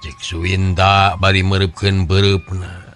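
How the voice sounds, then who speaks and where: A male storyteller with a Sundanese accent narrates a folk tale in dramatic oral style. His voice is fairly low and his pace slow, with faint music underneath. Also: level tone, fairly bright tone.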